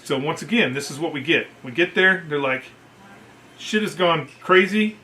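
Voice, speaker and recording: A middle-aged American man, a veteran, casually telling profanity-laced service stories in an animated way, acting out what others said. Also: fairly high pitch, normal speed, a little hiss or hum. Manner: animated, profane, acts out quoted dialogue. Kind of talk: casual anecdotal storytelling.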